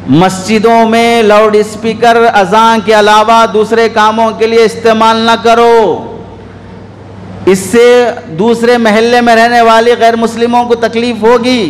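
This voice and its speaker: High-pitched male preacher in an echoey hall. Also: flat delivery, measured pace, slight background noise. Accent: Indian. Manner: scolding, exhorting with rhetorical questions. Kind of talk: sermon. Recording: echoey hall with long reverb.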